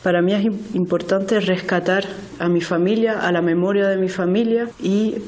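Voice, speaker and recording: A young woman born in Cuba and raised in France reflects on her work in an interview. Her voice is quite even and flat in tone, with small restarts. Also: medium pitch, normal speed. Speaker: documentary filmmaker interviewed. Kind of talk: interview answers.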